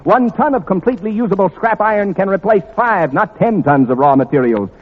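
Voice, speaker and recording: A man's voice: high voice, animated, fairly quick. An American man hosting a 1940s radio quiz in a theater, announcing formally and precisely in a showman's way. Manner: crisp formal showman, repeats and spells out items precisely. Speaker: quiz show host. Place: large theater before an audience. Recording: muffled 1940s radio recording, long theater reverb.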